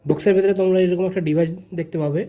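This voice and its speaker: Male vlogger, high mellow voice, informal hands-on tutorial delivery with repeated phrases. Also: steady tone, very fast. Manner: informal, repeats phrases, addresses viewers directly. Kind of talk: casual step-by-step product tutorial.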